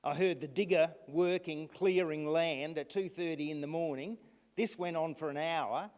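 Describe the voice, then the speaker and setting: A man addressing a council meeting, recounting events haltingly with restarts. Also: on the high side, darkish tone. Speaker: resident speaking at council meeting. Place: council chamber. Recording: long reverb in a hall.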